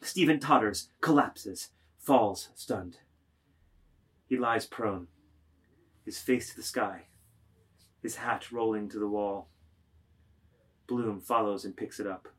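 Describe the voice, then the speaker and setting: A man's voice: lowish voice, slow pace, long pauses, husky. A man narrating a literary script, reading stage directions in a declamatory way.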